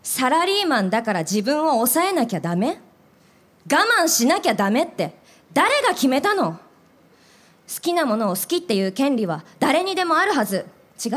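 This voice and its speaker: A woman's voice: high voice, fairly bright tone. A woman acting a fiery, protective senior businesswoman in a live radio drama, with an emphatic, commanding delivery. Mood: earnest, tender.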